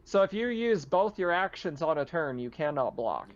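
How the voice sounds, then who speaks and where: A man's voice: fairly high pitch, sing-song, normal speed, dull audio, over a noisy crowd. A man acting as game master, casually refereeing rules and descriptively narrating a tabletop roleplaying game.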